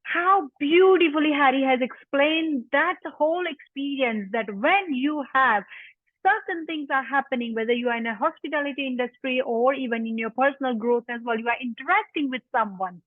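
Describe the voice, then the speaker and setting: A woman's voice: high voice, normal speed, no room sound. A woman hosting an online business panel, enthusiastic and emphatic as she sums up and reads out questions. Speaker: host. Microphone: video call. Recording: tinny dry phone-like line.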